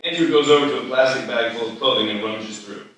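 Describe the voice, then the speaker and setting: A man's voice: medium pitch, fast, a bit bright. A man reading stage directions as scripted narration at a live screenplay table read. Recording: very echoey hall, distant mic.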